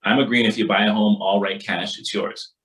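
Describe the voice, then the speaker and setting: A man's voice: lowish voice, fairly even intonation, brisk, fairly bright tone, poor audio. A man from Barbados teaching a workshop as instructor, informal and motivational, with an emphatic, colloquial delivery. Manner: emphatic, colloquial, repeats catchphrases. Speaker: financial literacy workshop instructor.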